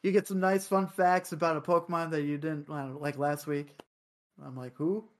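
A man hosts a relaxed chat show in a voice that sits on the high side. He wanders through his points in an easygoing, rambling way. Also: a little fast. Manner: laid-back, rambling, self-described high voice. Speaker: podcast host. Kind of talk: casual podcast chat.

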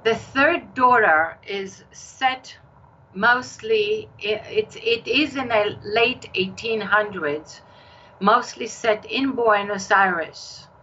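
An older woman with an Israeli accent, a novelist talking in an interview in a measured storytelling manner. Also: medium pitch, pushed and bright, dull audio, slight background noise. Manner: slow, measured storytelling with occasional restarts. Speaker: novelist guest. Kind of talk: interview conversation.

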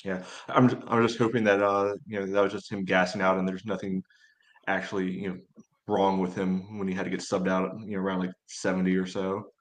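A low-pitched male voice. He chats casually about sports as a podcast host, feeling his way through sentences with plenty of fillers. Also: level tone, a little fast.

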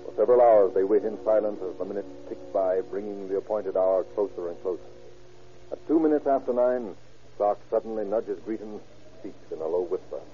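Man as dramatic radio crime narrator, low and serious, very tinny vintage recording. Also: a little fast.

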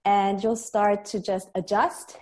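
A woman's voice: medium pitch, little pitch movement, slow, a little mellow. A woman speaking as a panel guest, explaining her views in a thoughtful way. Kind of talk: casual panel chat.

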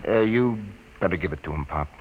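An actor playing an excitable, dim but loyal sidekick, slangy and ungrammatical, in old-time radio drama style. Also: male voice, deep voice, brisk, narrow, tinny audio. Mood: puzzled. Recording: noisy old radio broadcast, clicks.

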